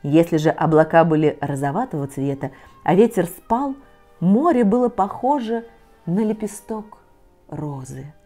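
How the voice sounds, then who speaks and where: A middle-aged woman reading a children's fairy tale aloud in a soft, measured way, sometimes sinking almost to a whisper. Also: lowish voice, animated, faint music behind. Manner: soft, measured, often dropping to a near whisper. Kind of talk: gentle children's story reading. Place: cozy living room set with bookshelves, in an armchair.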